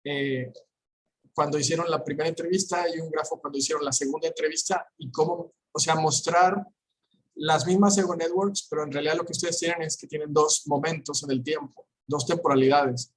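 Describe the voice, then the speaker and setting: A man at an online academic conference, speaking in a slow, measured way. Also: fairly high pitch, steady tone, low-quality recording. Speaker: conference presenter.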